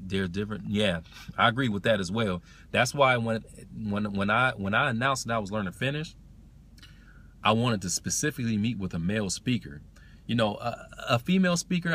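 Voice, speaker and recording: A man hosting a language-learning livestream, chatting casually and answering comments, circling back to restate his points in a halting way. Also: fairly low pitch, a bit bright.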